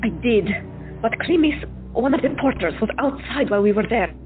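A woman's voice: fairly high pitch, expressive, normal speed, close to an everyday voice. A woman voicing a weary, short-tempered woman doctor who answers curtly, on a lo-fi recording with music. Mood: defensive. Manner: exasperated, curt. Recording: lo-fi, phone-like, with music.

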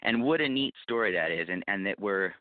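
A man with a fairly low voice talks as a guest in a conversational interview. He explains things in an anecdotal way. The sound is narrow and phone-like, with very little room around it. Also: animated, a little fast, no room sound. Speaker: podcast producer being interviewed. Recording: phone-like narrow-band connection, dry.

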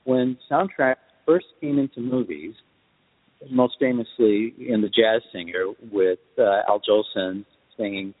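A man explaining as a composer guest, in a measured, lecturing way. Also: fairly low pitch, no room sound, in a large hall, phone-quality sound. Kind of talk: explanatory interview.

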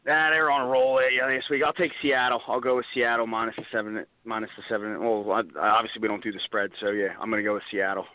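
An American man giving casual sports opinions on a radio panel, halting as he thinks aloud. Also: fairly low pitch, level tone, no room sound. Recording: dry, phone-like narrowband radio sound.

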